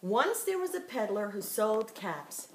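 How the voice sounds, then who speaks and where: An older woman, a grandmother, reading a picture book aloud to her grandchild with playful, expressive asides. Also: mid-range voice, unhurried, background noise. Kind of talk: storybook read-aloud.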